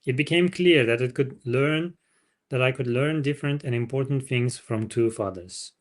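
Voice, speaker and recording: A man's voice: mid-range voice, normal speed. A man reading a book aloud as a livestream host, with informal asides to listeners.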